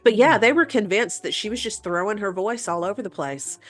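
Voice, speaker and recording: A woman's voice: mid-range voice, brisk, faint music behind. A woman co-hosting a talk show, recounting a paranormal case in an informal, conversational storytelling style. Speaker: podcast co-host and presenter of the case.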